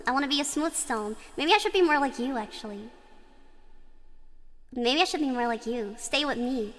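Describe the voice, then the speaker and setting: A woman's voice: high voice, bright, forceful tone, long reverb tail. A woman streamer with a strong singing voice, chatting and giggling between songs on a karaoke stream. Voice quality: strong belting voice. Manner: giggly, chatty, reads chat aloud.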